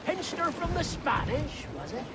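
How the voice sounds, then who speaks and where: An actor playing an inquisitive, roguish pirate sailor in a video game. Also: male voice, extremely high pitch, very animated, normal speed, very noisy. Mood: curious, impressed. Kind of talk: video game cutscene acting.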